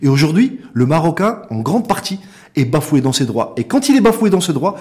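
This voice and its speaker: Animated, higher-voiced Moroccan man, middle-aged. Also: a little fast. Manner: emphatic, repeats words for stress, rhetorical questions. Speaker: interview guest, founder and director of an organisation. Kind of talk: impassioned interview discussion.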